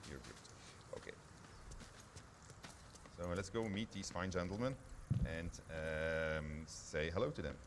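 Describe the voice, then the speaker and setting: A man's voice: deep voice, slow, long pauses, background noise. A man giving an informal live gameplay demo as a developer, hesitant and thinking aloud as he goes. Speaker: game developer presenting a demo. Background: loud music.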